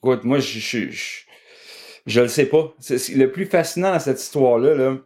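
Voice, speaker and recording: A man's voice: mid-range voice, lively intonation, moderate pace, darkish tone. A middle-aged man with a Quebec French accent giving casual sports analysis as a guest journalist.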